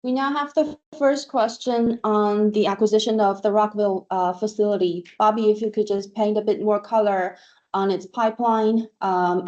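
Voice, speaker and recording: A woman's voice: mid-range voice, warm tone. A woman moderating an online earnings call, formally giving instructions and reading out questions. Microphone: online conference call. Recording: dull lo-fi online call audio.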